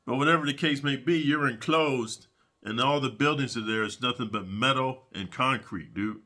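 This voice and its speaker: A man's voice: medium pitch, moderate pace, sharp tone. A middle-aged man telling prison stories in a rambling, casual monologue, sniffling from allergies and dropping "man" and "dude" all the time. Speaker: prison storyteller.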